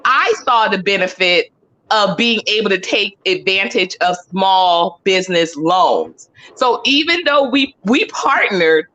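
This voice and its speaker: A hoarse woman from Miami who joins a panel in effusive, casual talk, laughing between phrases. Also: medium pitch, expressive, measured pace, sharp tone, low-quality recording.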